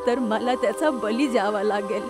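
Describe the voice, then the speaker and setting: Woman voicing old village grandmother, regretful, solemn confession, highish pitch, horror cartoon, music behind. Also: normal speed, natural voice.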